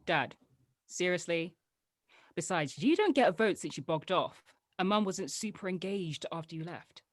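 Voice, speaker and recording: A woman's voice: fairly low pitch, expressive, moderate pace, no echo, studio quality. A woman playing a sarcastic, headstrong teenage daughter in an audio drama table read, teasing her way through the lines. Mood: needling, dismissive.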